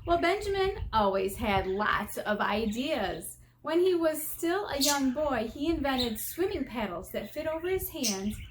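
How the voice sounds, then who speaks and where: An American woman reading a picture book aloud as an elementary teacher, expressive and teacherly, stressing key words and putting questions to her young listeners. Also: sits high, background noise. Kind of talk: children's read-aloud with explanatory asides.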